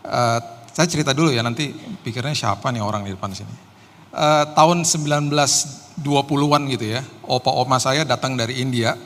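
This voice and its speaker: A man's voice: medium pitch, fairly bright tone. Middle-aged man preaching in a reverberant hall. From Indonesia. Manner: casual, joking preacher with many filler "eh"s. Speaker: pastor. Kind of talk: sermon with personal testimony. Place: church hall. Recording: long hall reverb, faint ambience.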